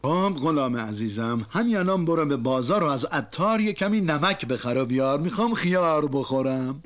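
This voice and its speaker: An actor playing a proud, confident master in a comic cartoon, speaking with emphatic, suspenseful delivery. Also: male voice, a little high, animated, slight background noise, natural voice. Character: proud, confident master bragging about his servant. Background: music.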